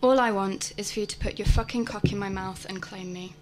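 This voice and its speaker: Urgent, quick-talking actress as a frank lover. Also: female voice, mid-range voice, steady tone, lightly acted. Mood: urgent desire. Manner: urgent, blunt, insistent. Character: frank, hungry woman demanding a hesitant lover. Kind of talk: radio play monologue.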